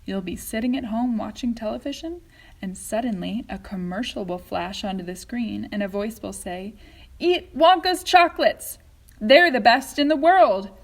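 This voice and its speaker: A woman reading a storybook aloud and voicing an excitable, eccentric male chocolate maker in a high, loud, exclamatory voice.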